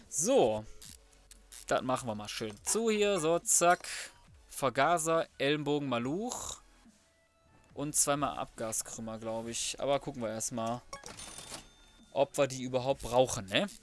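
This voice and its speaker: A man comments casually on a game he is playing, in a fairly high male voice. He talks to himself in loose slang as he works through each step. Faint music sits behind his voice. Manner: colloquial slangy thinking aloud, mutters to himself. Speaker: let's player. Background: background music.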